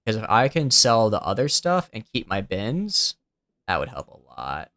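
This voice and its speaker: A man's voice: lowish voice, huge pitch swings, moderate pace, sharp tone, no room sound. A young man streaming a game, chatting casually and rambling as he thinks aloud, with a youthful-sounding voice. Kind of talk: casual gameplay commentary.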